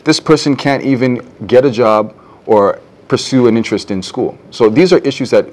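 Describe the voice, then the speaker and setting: A man's voice: medium pitch, moderate pace, darkish tone. Young man, earnest debate candidate. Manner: earnest, some stammering restarts. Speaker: political candidate. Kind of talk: debate answer. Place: television studio.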